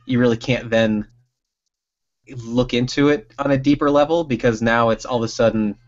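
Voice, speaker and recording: A man in a relaxed podcast conversation, speaking at an ordinary pitch. He wanders through his thoughts, backs up and restarts sentences as he goes. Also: normal speed, highs cut off. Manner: rambling, with many restarts and fillers. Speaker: guest host. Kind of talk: casual podcast chat.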